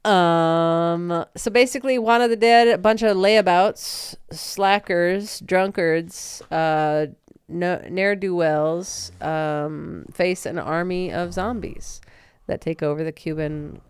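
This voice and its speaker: A woman's voice: fairly low pitch, steady tone, takes it slowly, smooth, no room sound. A woman co-hosting a podcast, recapping a movie in a chatty, rambling way. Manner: chatty, rambling with fillers, laughs easily. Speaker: podcast co-host.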